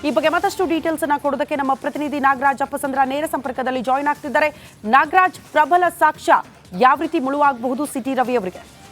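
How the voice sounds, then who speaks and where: A woman anchors a television news bulletin in a very high voice. She leans on key phrases for dramatic emphasis. Faint music plays behind her. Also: quick pace, fairly bright tone. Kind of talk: television news presenting, handing over to a reporter.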